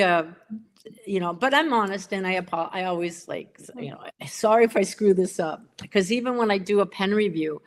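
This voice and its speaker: A woman co-hosting a casual livestream chat over a video call, talkative and self-deprecating, often laughing. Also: fairly low pitch. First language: English. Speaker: co-host of a pen livestream.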